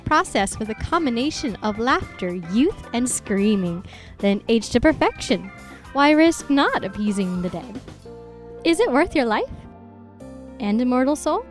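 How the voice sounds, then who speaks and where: Sinister ad announcer played by a woman, high and animated, theatrical sales pitch. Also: a little slow, soft background music. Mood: ominous pitch. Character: female sponsor announcer, sinister and sales-bright. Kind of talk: parody radio advert.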